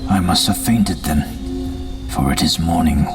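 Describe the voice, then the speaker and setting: An actor playing a haunted, feverish asylum founder in a gothic audio drama, brooding and theatrical, often dropping to a whisper. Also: male voice, low voice. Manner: slow, brooding, theatrical, lapsing into hushed whispers. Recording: distant mic under loud music.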